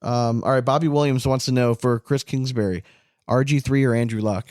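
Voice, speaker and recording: A man's voice: medium pitch, fairly even intonation, brisk, darkish tone, no echo, clean studio sound. A man hosting a casual sports podcast, talking in a blunt, profane way. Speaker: podcast host.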